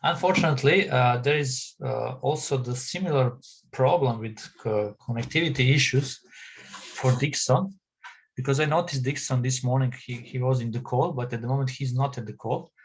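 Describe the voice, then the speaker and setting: A man from Serbia with a Serbian accent moderating an online academic session, speaking haltingly and repeating words as he goes. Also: medium pitch, breathy edge, low-quality recording. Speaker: session chair.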